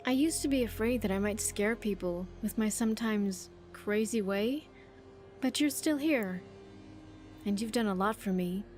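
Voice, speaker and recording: A woman's voice: mid-range voice, a little slow, darkish tone, close to an everyday voice. A woman voicing an earnest, grateful young survivor in a video game, chatty and sincere with slightly stilted phrasing. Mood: earnest, hopeful. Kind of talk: video game character dialogue.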